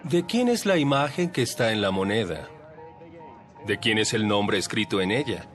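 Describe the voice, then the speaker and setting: An actor playing a calm, wise teacher who tells parables in a measured way. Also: male voice, on the low side, background noise, lightly acted. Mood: firm. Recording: voice over light background music.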